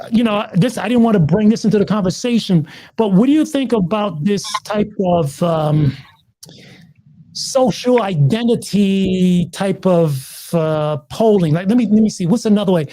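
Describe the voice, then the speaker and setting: Guy hosting a chill chat, mellow darkish voice, stumbles and restarts a lot. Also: high-pitched, poor audio. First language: not Taiwanese, lives in Taiwan. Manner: halting, restarts often, searching for words. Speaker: podcast host. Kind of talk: casual conversation.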